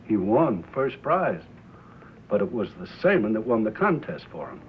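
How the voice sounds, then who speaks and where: An actor playing a prim, earnest American small-town radio station owner, polite, literal-minded and hesitant, in a 1950s film drama. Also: male voice, fairly low pitch, big pitch swings, moderate pace. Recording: dull noisy 1950s film soundtrack.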